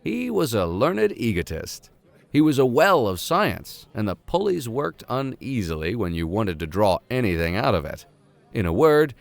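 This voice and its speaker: A man of middling pitch reads aloud like an audiobook narrator of old classics. His intonation swings widely, with a playful, theatrical manner. The sound is close and dry, with no room echo. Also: gravelly. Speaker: audiobook reader. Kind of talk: expressive narration of classic literature with casual asides.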